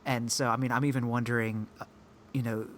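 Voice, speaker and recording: A man co-hosting a podcast in casual chat, measured and given to wry asides. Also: mid-range voice, flat delivery, a bit bright, slight background noise. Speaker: podcast co-host.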